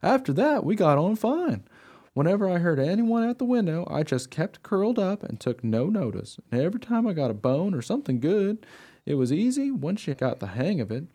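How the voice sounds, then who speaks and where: Radio play dog narrator, male actor: earnest, naive, eager, swooping intonation, soft-toned voice. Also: high-pitched, sing-song, a little fast, heavily acted. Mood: earnest, guileless. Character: eager, naive, trusting young dog telling his story.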